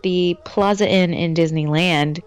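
A woman's voice: fairly low pitch, fairly even intonation, unhurried, clear, resonant tone. A woman co-hosting a casual podcast chat, playful and teasing in an upbeat way. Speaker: podcast co-host.

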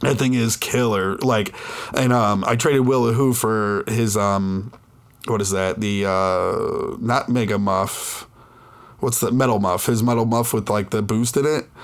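A man's voice: fairly low pitch, steady tone, measured pace. A man hosting a casual podcast while sick with the flu, rambling with lots of fillers and laughs. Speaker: podcast host. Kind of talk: casual chat.

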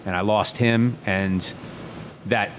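Man, thirties, chef interview guest, lowish voice, easygoing, halting with fillers, faint background hiss. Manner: relaxed, easygoing, many fillers and restarts. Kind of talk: casual reflective interview.

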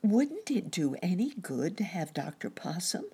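A fussing muskrat lady nurse from an animal storybook, played by a woman reader. The voice is lifted high and very breathy, with lively swings. The manner is anxious, earnest and full of sympathy. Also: measured pace, heavily acted. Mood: concerned coaxing.

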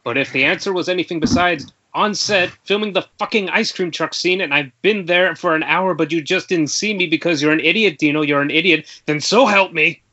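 A man's voice: fairly high pitch, fairly quick, hardly any pauses, bright, forceful tone. A man playing an exasperated, scolding film crew member in a screenplay table read over a dull internet call.

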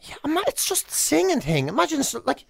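High-voiced Irish man, dry studio sound. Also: huge pitch swings, fast, creaky, dry recording. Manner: jokey, acts out imagined lines. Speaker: radio show co-host. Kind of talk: comic radio banter.

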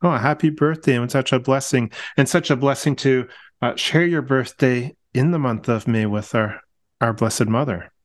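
A middle-aged man hosting a casual podcast, friendly and warm, often restarting his words and laughing gently. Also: medium pitch, moderate pace. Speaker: podcast host.

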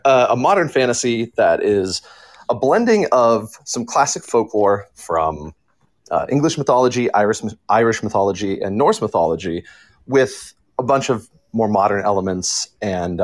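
A man's voice: fairly low pitch, animated, moderate pace. A man speaking informally as a writer on a podcast panel, explaining in an earnest, emphatic way.

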